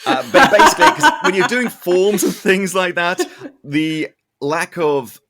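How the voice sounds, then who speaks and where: A man hosting a podcast in a casual, animated, self-deprecating and joking interview style. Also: high voice, unhurried, crowd noise. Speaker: podcast host.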